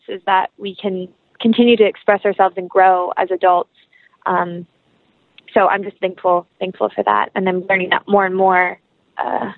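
A woman guest answers interview questions in a conversational, reflective way. Her voice sits at a medium pitch, and she drifts into small illustrative stories with earnest feeling. The sound is dry, with very little room around it. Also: no room sound, narrow, tinny audio. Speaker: interview guest, professional actress.

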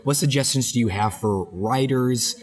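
A man hosting a podcast interview with a raspy voice from a head cold, joking lightly and asking questions with many fillers. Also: mid-range voice, normal speed, soft background music. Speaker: podcast host, professor.

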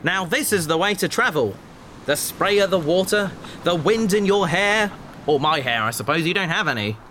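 A man's voice: high voice, expressive, normal speed, pushed and bright, slight background noise. A man voicing a cheerful, cheeky male crew member who jokes in a breezy way. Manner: breezy, joking. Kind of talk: audio drama acting.

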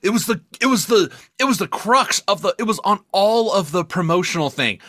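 An excitable man with a high voice that swings widely as he talks. He rambles emphatically through a casual, sweary review chat, sounding bright and close in a dry recording. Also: fairly quick, no room sound. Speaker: podcast co-host.

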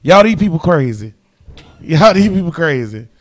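Loud teasing podcast host man. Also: a little high, sing-song, normal speed. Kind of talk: casual podcast banter.

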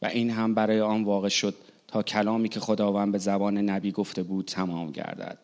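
A man reads scripture aloud with a deep, low voice. He moves through the passage very quickly and keeps an even reading rhythm.

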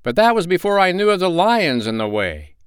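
A man's voice: high-pitched, very animated, fairly quick, clear, resonant tone, dry recording, studio quality, voice pushed up. A man putting on a solemn, mournful wayfarer. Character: solemn gloomy male wayfarer turning back.

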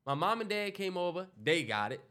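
Stuffy-nosed young guy on a podcast, animated, cussing, voice a little high. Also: brisk. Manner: animated, joking, swears freely. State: congested. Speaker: podcast co-host. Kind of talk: casual storytelling chat.

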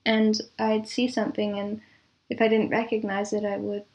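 A woman answering as a composer guest in a casual, chatty interview, quick to laugh. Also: mid-range voice, flat delivery, a little mellow.

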